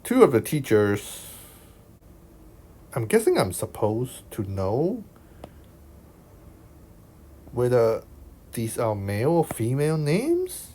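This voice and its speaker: A man from Hong Kong with a Hong Kong accent, talking through a maths exam solution as a tutor, unhurried and thinking aloud, checking in with his listeners. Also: medium pitch, huge pitch swings, dark tone. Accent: Hong Kong Cantonese. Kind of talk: explaining a worked exam solution over screen recording. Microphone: voice-over on screen recording.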